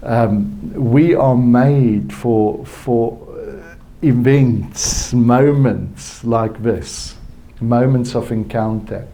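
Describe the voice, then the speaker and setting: A man from South Africa, native Afrikaans speaker with a South African accent, telling a personal story at a conference in a halting, reflective way. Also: medium pitch, dark tone. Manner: slow, halting, reflective with frequent restarts. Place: conference hall.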